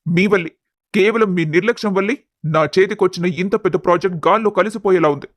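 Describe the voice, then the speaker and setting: A man's voice: high voice, quick pace, no room sound, lightly acted. A man playing a stern young company boss in a dramatised family story. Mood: angry, accusing. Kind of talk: dramatised audio story acting.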